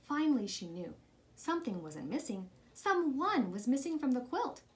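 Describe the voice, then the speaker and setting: A woman's voice: high voice, very animated, moderate pace. A woman reading a children's picture book aloud as scripted story narration. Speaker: storybook reader.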